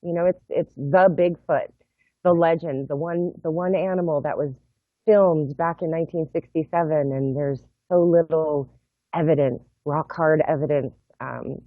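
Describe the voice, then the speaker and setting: A woman's voice: low voice, steady tone, dry recording. Middle-aged woman on a tinny video call. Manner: measured, thoughtful, with frequent uhs. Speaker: guest, project co-founder. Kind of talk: explaining in an interview. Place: home room. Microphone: laptop webcam mic on video call. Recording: tinny phone-like video call audio, dry.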